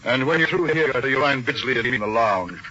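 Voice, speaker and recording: An actor playing a brisk, hearty wealthy yacht owner in a 1940s radio drama style. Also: male voice, mid-range voice, expressive, natural voice. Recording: muffled old radio broadcast with clear hiss.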